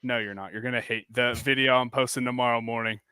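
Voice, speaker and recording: A man in his twenties co-hosting a casual sports chat, animated and laughing easily, with slangy talk. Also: mid-range voice, fast talker, clear, resonant tone, dry recording. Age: 20s. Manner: animated, laughs easily, says "brother" and "dude". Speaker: co-host of a sports show.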